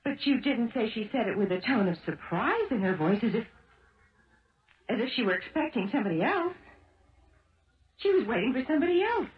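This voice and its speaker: A determined woman sleuth in a seventies radio mystery. Her delivery is theatrical and pressing, with lively swings in pitch. The sound is thin and phone-like, from an old broadcast. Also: fairly quick, long pauses. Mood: pressing, urgent. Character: dogged, insistent woman turned amateur sleuth. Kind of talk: 1970s radio drama acting.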